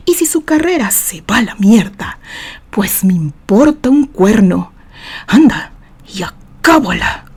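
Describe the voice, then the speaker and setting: Woman voicing a hushed, ruthless older Mexican-accented businessman. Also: medium pitch, breathy edge, heavily acted. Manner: hushed, commanding, cutting. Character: stern, vengeful older businessman, ruthless uncle.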